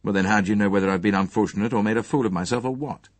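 Man playing a bitter young man, low-voiced. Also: rapid-fire, dry recording, natural voice. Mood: challenging. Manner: curt, sardonic, casual phrasing. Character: bitter, angry young man, sardonic and self-pitying.

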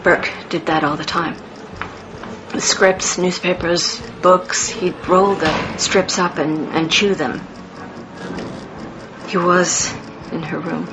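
A woman's voice: lowish voice, fairly even intonation, slow, highs cut off, music in the background. A woman playing an anxious, protective mother under great strain in a radio drama, hesitant and emotional. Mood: fearful. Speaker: actor.

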